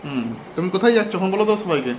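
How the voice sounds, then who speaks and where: A woman's voice: lowish voice, animated, racing. A young woman chatting casually for a family vlog and asking questions, recorded on a handheld phone. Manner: chatty, asks a child questions. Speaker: family vlogger, mother. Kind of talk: casual home vlog chat. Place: in and around a family home. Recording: noisy handheld home recording.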